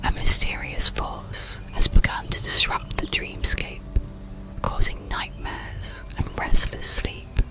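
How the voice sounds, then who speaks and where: A storyteller voicing a wise, gentle elderly woman dreamweaver in a soft, solemn whisper for a bedtime story. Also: slow, lightly acted. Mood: grave, gentle.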